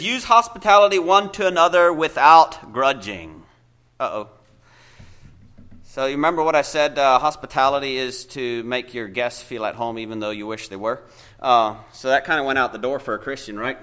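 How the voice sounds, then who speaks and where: A man's voice: mid-range voice, pushed and bright. A man preaching an informal Bible teaching sermon in a church, conversational and hesitant, thinking aloud with many fillers.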